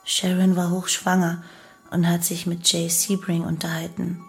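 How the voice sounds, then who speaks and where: An actress playing a young cult killer who confesses in a detached, matter-of-fact way in a radio play. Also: female voice, fairly low pitch, completely flat delivery, moderate pace, faint music behind, close to an everyday voice. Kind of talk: radio play monologue in testimony form.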